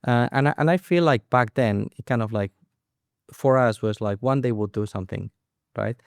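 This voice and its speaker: A man's voice: medium pitch, normal speed, creaky, clean tone, no echo, clean studio sound. A man co-hosting a podcast as the company's CEO, chatting casually with many fillers and restarts. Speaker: co-founder and CEO, podcast host. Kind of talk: casual conversational podcast chat.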